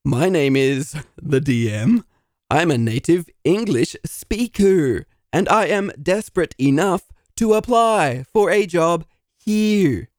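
Man as theatrical, self-pitying failed DM, lively swooping pitch, dry close mic. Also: slow pace, no room sound. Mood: dramatic. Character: self-pitying failed male dungeon master. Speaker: narrator. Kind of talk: comedy audio drama.

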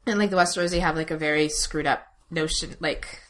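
A woman's voice: low-pitched, steady tone, fairly quick, fairly bright tone. Woman podcaster with faint background noise. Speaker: podcast host. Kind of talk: casual chat, reading listener questions.

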